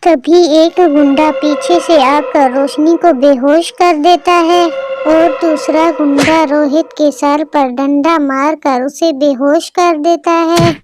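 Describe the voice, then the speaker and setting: A woman's voice: high voice, fairly even intonation, slow, background noise. A woman narrating a cartoon story in a scripted storybook style, with music behind her.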